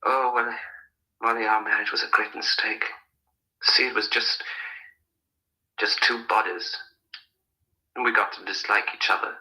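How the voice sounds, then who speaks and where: An actor playing an evasive, anguished husband on the run in a radio murder mystery, speaking in emphatic, hesitant bursts. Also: male voice, fairly low pitch, fairly even intonation, a little slow, long pauses, pushed and bright, low-quality recording. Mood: confiding, regretful. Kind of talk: radio drama acting.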